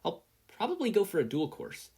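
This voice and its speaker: A performer voicing an excitable, earnest teenage boy superhero student in an audiobook style, eager and fretful. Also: a little fast, slightly rough, heavily acted. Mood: eager, flustered. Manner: eager, fretful, high-strung. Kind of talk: audiobook-style character acting.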